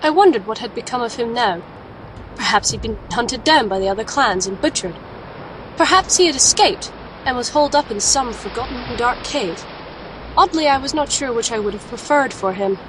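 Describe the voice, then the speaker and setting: Resolute female alchemist, played by a woman, serious and measured, fairly high voice, audio drama, faint noise behind. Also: animated, a bit bright, low-quality recording. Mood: brooding. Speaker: lead character and narrator. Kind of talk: audio drama dialogue and reflective first-person narration.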